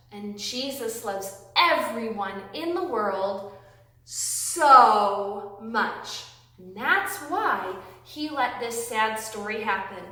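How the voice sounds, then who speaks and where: A woman reading aloud and teaching young children, with simple, deliberate, emphatic phrasing, recorded in a classroom. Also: high voice, bright, forceful tone. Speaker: children's Bible storytime host, reading aloud. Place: indoors, a classroom. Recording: very echoey room, distant microphone.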